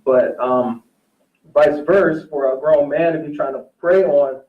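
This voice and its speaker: Higher-voiced man, measured and distant from the mic. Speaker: teacher, talk show guest or co-host. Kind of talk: casual discussion. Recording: roomy, dull, distant-mic recording.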